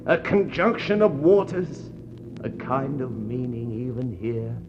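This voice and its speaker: Actor as a slow, anguished doomed expedition leader. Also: male voice, mid-range voice, fairly even intonation, natural voice. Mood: anguished, desperate. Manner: halting, repetitive, brooding delivery. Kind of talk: 1960s radio play acting. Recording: muffled, noisy 1960s radio recording.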